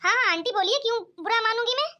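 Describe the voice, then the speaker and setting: Lady doing a sweet young bahu for a cartoon, super high bright voice, polite. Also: expressive, fast talker, lo-fi sound, exaggerated voice. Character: polite, sweet young widowed daughter-in-law. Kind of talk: amateur cartoon comedy skit.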